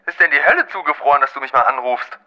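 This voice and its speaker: An actor playing a sarcastic young man speaking loudly over a phone line in a radio play. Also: a little high, fast talker. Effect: phone filter. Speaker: Roman, friend on the phone.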